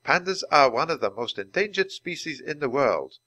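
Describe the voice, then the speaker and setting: A man lifts his voice to play a composed young woman scientist addressing a group. She speaks formally and carefully, in a clear, bright, storybook tone. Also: on the high side, big pitch swings, no echo, voice pushed up, full character voice. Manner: formal, measured, instructive.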